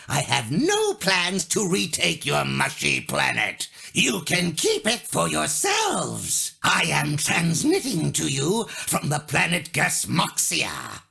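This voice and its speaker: A man voicing a haughty, sneering alien villain from a cartoon game, raspy, grandiose and condescending. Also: sits high, sharp tone, heavily acted.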